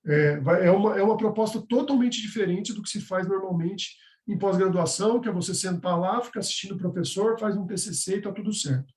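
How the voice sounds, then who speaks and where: Instructor, male, high-pitched, conversational explainer, echoey room. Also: fairly quick, darkish tone. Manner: conversational, explaining step by step with frequent "tá?" checks. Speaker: course instructor. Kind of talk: live online class with course announcements.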